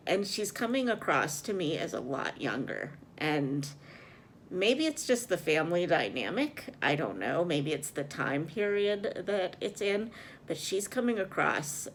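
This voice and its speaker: A woman's voice: low voice, fairly bright tone. A woman chatting casually as a book vlogger, rambling and hesitant, often correcting herself as she goes. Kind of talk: casual chat, reading vlog.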